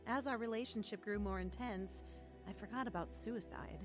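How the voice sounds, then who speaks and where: A woman's voice: medium pitch, normal speed, over music, lightly acted. A woman playing a troubled, lonely and cynical teenage girl who tells her story plainly in an audio drama. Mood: downcast. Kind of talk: audio drama acting and first-person narration.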